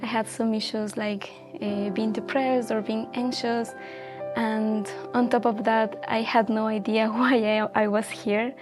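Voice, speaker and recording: A woman's voice: on the high side, level tone, a little slow, over music. A woman in her twenties from Ecuador, speaking as a guest sharing her personal story in an earnest, reflective way with many fillers. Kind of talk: personal testimony in a casual chat.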